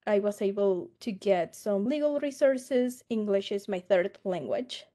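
A woman from Mexico, giving a slow, earnest personal testimony over a video call. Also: medium pitch, mellow. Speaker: survivor advocate, guest speaker. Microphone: laptop webcam on a video call.

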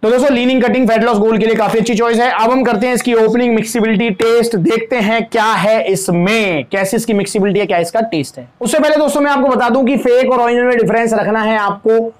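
A man with a high, light voice and an Indian Hindi accent talks his viewers through a protein product. He is chatty and friendly, calls them friends, and stops now and then on small fillers as he reads off the label. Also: fast, hardly any pauses. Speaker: supplement reviewer, channel host. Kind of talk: product review talk to camera.

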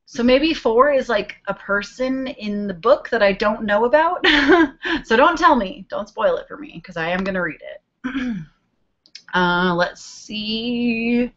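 A woman's voice: fairly high pitch, fairly bright tone, highs cut off. Chatty young woman on a webcam. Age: 20s. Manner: chatty and rambling, hums while searching. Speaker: vlogger answering viewer questions. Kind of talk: casual chat. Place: small bedroom, indoors. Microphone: webcam video call.

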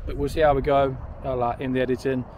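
A man's voice: medium pitch, little pitch movement, fast talker, highs cut off, a little hiss or hum. Rambling man vlogging outdoors. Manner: rambling, self-correcting, upbeat. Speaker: drone vlogger. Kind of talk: casual vlog to camera. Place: outdoors by a railway station, windy. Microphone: handheld camera at arm's length.

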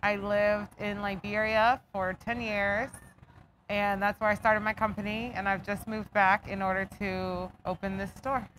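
A woman's voice: mid-range voice, flat delivery, unhurried, fairly bright tone. A woman in her thirties with an American accent, talking conversationally and reflectively in a documentary interview. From raised outside Atlanta, United States. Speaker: fashion business owner. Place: inside a clothing shop. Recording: noticeable background ambient noise.

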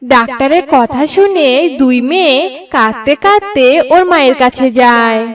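A woman's voice: high voice, animated, a little slow, recorded from a distance. A woman narrating a scripted bedtime story for a cartoon.

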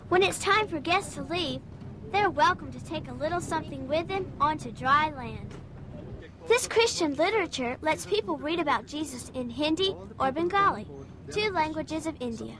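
A woman's voice: high-pitched, expressive, moderate pace, fairly bright tone. A woman narrating a children's program in scripted voice-over, chatty and kid-friendly. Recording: voice-over over background music.

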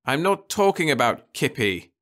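A man's voice: a little high, big pitch swings, moderate pace, a bit bright, pitched above the performer's own voice. A firm, insistent middle-aged mother, voiced by a man in a read-aloud story. She speaks in a raised voice that rises and falls with feeling, earnest and unbending.

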